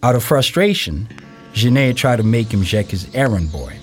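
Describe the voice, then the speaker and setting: A man reading scripted narration for an audio play in a measured storytelling way. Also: fairly low pitch, lively intonation, breathy edge. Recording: music behind the voice. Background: music.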